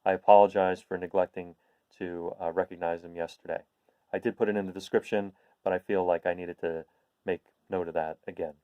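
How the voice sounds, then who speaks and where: A middle-aged man hosting a read-aloud for children, chatty and explanatory, moving between casual talk and expressive storybook reading. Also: low-pitched, a little fast, clear, resonant tone, dry recording, muffled recording. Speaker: host of a read-aloud series.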